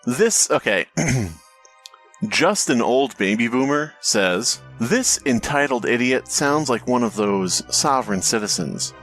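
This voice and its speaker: Low-pitched sarcastic man over music. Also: fairly bright tone. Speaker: podcast co-host. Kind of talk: casual comedic banter.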